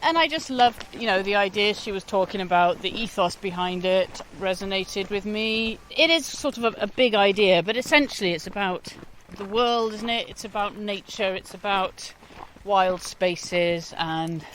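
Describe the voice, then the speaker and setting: A woman's voice: medium pitch, a bit bright, slight background noise, low-quality recording. A Welsh woman in her forties, a guest musician talking in a thoughtful, hesitant way during an outdoor interview on a walk. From Wales. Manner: thoughtful, hesitant, often pauses mid-phrase.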